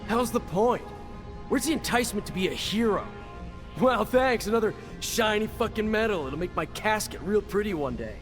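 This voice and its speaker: An actor playing a cynical veteran soldier in a video game, gruff and blunt in manner. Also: male voice, high-pitched, expressive, normal speed. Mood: bitter, sarcastic. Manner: gruff, sardonic, blunt, swearing. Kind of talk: video game dialogue acting. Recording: music behind the voice.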